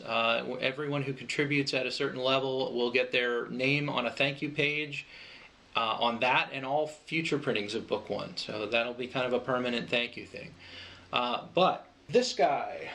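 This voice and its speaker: Guy doing an informal fundraiser pitch, bright forward voice, explains stuff conversationally. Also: moderate pace. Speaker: project creator pitching a fundraiser.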